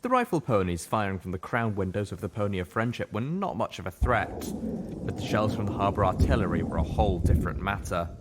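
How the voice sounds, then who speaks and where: A man's voice: lively intonation, fairly quick. A man reading a dramatic piece of fiction as an audiobook narrator, with noise behind his voice. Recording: noticeable background noise with low rumble.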